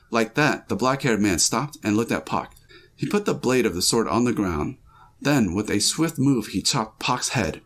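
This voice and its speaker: A man's voice: mid-range voice, creaky. A man reading a listener's dream aloud as a first-person story, with small slips and restarts.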